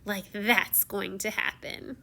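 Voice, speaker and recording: Storybook evil fairy, played by a woman reader: imperious, mocking female villain with a sharp, creaky edge. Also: mid-range voice, steady tone, slow, full character voice.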